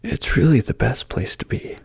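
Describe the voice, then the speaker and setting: A man voicing a helpful, assured fish from a bedtime storybook, soft, breathy and persuasive. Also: normal speed, no echo, studio quality, natural voice. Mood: assured, inviting. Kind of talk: soft-spoken ASMR storybook reading.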